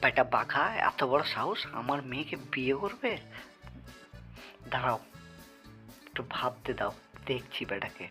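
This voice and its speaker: A man's voice: mid-range voice, big pitch swings, unhurried, pauses often, pushed and bright, soft background music. A man voicing a crafty, protective father in a folktale cartoon, blustering and exclamatory. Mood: scheming. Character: crafty, blustering father guarding his daughter. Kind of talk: Bengali children's folktale cartoon acting.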